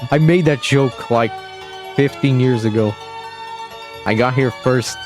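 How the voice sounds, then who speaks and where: A man's voice: mid-range voice, slow, over music. A man giving casual live commentary on a game replay stream, chatting with viewers and laughing often.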